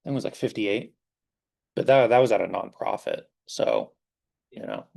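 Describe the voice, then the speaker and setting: A man's voice: on the low side, wildly swooping pitch, moderate pace, vocal fry, a little mellow. A young man in his twenties, a guest security engineer speaking informally to a class in a classroom, rambling with many fillers as his voice starts to give out. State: slightly losing his voice.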